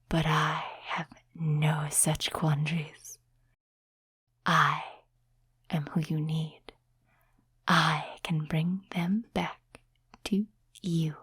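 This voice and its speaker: A woman performs a seductive female demon in an ASMR roleplay, whispering very slowly with heavy breath in the voice. She is sly and condescending, coaxing a mortal with deliberate, teasing words. The voice sits a little low and sounds close and dry. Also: breathy edge, no echo, exaggerated voice.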